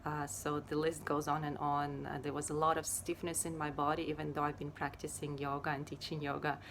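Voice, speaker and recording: A woman from Ukraine speaks in a low, fairly flat voice, taking her time and pausing often with fillers. A faint hiss sits under the recording. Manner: unhurried and hesitant, many fillers. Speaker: yoga teacher and Ayurveda therapist. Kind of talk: casual personal talk to camera.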